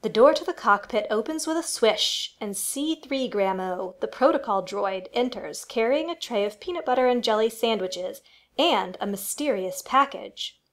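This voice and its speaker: A woman with a fairly high voice serves as narrator of a family radio play. She reads scripted adventure storytelling, setting each scene for the listener. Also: expressive, normal speed.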